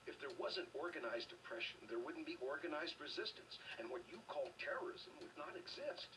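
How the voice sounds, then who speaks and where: An actor playing an earnest, argumentative anti-government rebel in a video game, speaking with preachy insistence. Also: male voice, on the high side, expressive, a little fast, far from the mic, background noise, natural voice. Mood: defiant.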